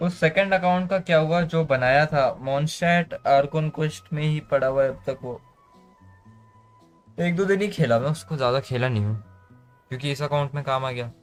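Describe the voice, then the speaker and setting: A man's voice: on the high side, racing, clear, resonant tone, soft background music. A man with an Indian accent doing casual live game commentary and reading dialogue aloud, in a grumbling manner. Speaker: live streamer.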